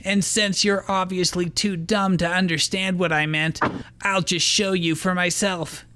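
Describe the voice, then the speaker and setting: A man's voice: high voice, level tone, unhurried, sharp tone, clean tone, voice pushed up. A man voicing a smug young hero who is dismissive and irritable with his foes, in a story reading. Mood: irritated.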